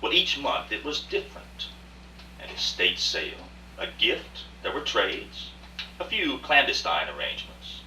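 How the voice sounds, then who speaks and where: A man's voice: fairly low pitch, huge pitch swings, measured pace, sharp tone, exaggerated voice. A man acting a cultured, proud businessman, telling his tale articulately and emphatically in a live radio drama. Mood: reminiscing. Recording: roomy far-off rehearsal recording, faint hiss.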